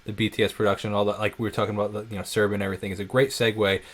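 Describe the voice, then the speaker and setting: A man's voice: lowish voice, racing. A young man from New York hosting a casual interview, telling stories energetically with lots of fillers. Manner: energetic, animated storytelling with many fillers. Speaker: interview host.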